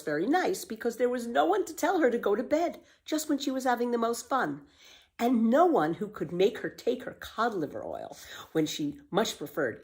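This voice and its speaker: A woman reading a children's book aloud as a storyteller, with expressive, emphatic scripted narration. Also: mid-range voice.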